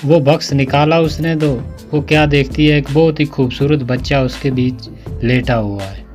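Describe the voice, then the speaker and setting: A man's voice: medium pitch, steady tone, moderate pace, darkish tone. A man narrating a scripted moral tale as a storyteller. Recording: music behind the voice.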